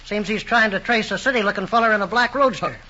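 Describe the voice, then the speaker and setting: High, sharp country neighbor. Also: male voice, fast, exaggerated voice. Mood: matter-of-fact. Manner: clipped, folksy. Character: terse country neighbor farmer bearing news. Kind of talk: old-time radio drama acting. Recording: dull old radio recording.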